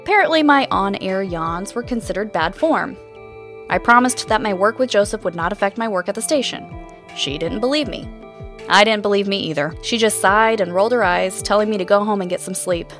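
An actress playing a thoughtful, inquisitive podcast host, narrating a scripted mystery in a measured, wry, reflective way. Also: female voice, medium pitch, a bit bright, faint music behind, lightly acted.

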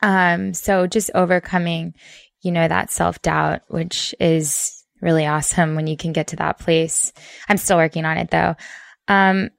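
Woman podcast host, lowish voice, smooth, reflective chat with fillers, dry close sound. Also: little pitch movement, measured pace, dry recording. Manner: candid, reflective, many fillers.

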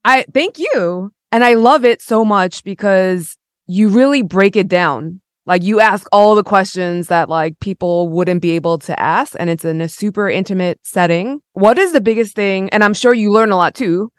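A woman hosts a casual interview show. Her voice is mid-pitched and chatty, and the recording is dry with very little room sound. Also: moderate pace, no room sound. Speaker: podcast interview host.

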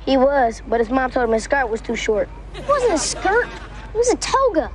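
Stubborn young boy, high-pitched, swooping delivery, wisecracking, sitcom. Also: very animated, normal speed. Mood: joking. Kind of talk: sitcom acting. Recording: noisy TV sitcom with studio audience.

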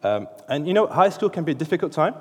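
A man's voice: fairly high pitch, sing-song, fast talker, darkish tone, husky, in a large hall. A man in his thirties, a native French speaker, gives a sermon in an informal, chatty way, full of restarts and fillers. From France. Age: 30s. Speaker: preacher. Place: church hall.

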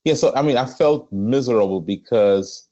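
A man with a Trinidadian accent, a pastor telling his life story as a podcast guest, with many pauses. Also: medium pitch, dark tone, lo-fi sound. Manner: earnest storytelling with frequent "um" pauses. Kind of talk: conversational interview.

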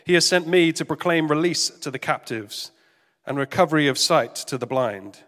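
A man preaching a sermon in a gentle, careful, conversational way, sounding a bit congested and nasal. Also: fairly high pitch, lively intonation, normal speed. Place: church service hall. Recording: reverberant hall with faint music.

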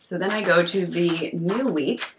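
A woman in her thirties hosting a podcast at home, hoarse from a cold, talking in a chatty, earnest way. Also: on the low side, a little slow, slight background noise, poor audio. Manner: chatty and earnest, with fillers and coughs. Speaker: podcast host and coach. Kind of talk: casual solo podcast talk. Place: at home at night.

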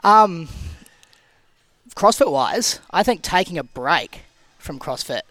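A man in his thirties, a guest telling stories in a relaxed, rambling way with frequent restarts. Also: fairly high pitch, slow pace, no echo. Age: 30s. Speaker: guest, CrossFit athlete and coach. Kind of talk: casual podcast interview.

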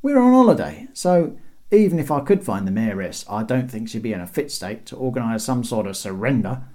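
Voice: mid-range voice, very animated, fairly quick, mellow, natural voice. A narrator playing a cheeky male city guard in an audiobook, chatting offhandedly in colloquial British banter. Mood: offhand.

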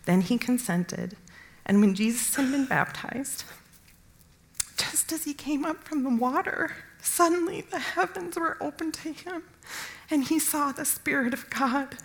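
A woman's voice: on the high side, vocal fry, poor audio. A woman reading a Bible narrative aloud from a script. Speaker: scripture reader.

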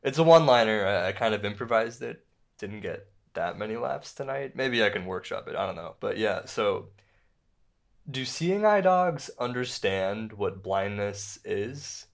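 An actor playing an insecure, self-pitying stand-up comedian in a radio play, speaking in a halting, conversational way. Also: male voice, on the low side, lively intonation, vocal fry. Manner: halting, conversational, with repeated words. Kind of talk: naturalistic radio play dialogue.

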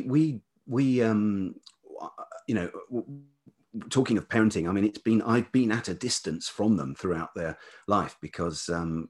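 Middle-aged male, deep velvety voice with some huskiness, hesitant reflective storyteller in relaxed interview conversation. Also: slightly rough. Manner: hesitant, halting, circling back. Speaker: podcast guest, writer and musician.

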